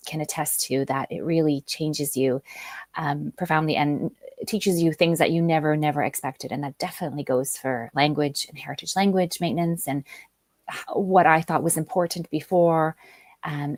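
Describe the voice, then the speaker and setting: A woman's voice: low voice, vocal fry, no room sound, clean studio sound. A woman, a guest author talking thoughtfully in an interview, with frequent ums and restarts. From Canada. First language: Polish. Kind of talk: conversational interview.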